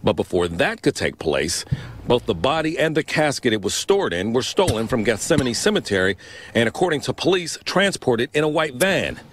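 A man's voice: medium pitch, very animated, moderate pace. A man giving a live television news report from outdoors on a city street. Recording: faint outdoor street noise.

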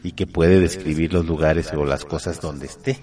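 A man's voice: very deep voice, fairly even intonation, normal speed, dark tone. A man, co-hosting a casual chat and explaining with frequent questioning tags. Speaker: podcast co-host. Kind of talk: casual chat about the paranormal.

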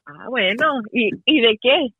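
A woman's voice: fairly high pitch, lively intonation, takes it slowly, breathy edge, no echo. A woman chatting casually as a participant on a radio show, over dull lo-fi call audio. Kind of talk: casual chat.

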